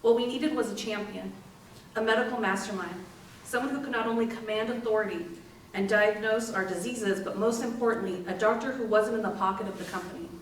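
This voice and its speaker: A woman's voice: mid-range voice, a little fast, close to an everyday voice. A woman playing an ailing young factory worker seeking help, in dramatic readers theater. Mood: earnest, pleading. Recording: very echoey hall, far mic, faint noise.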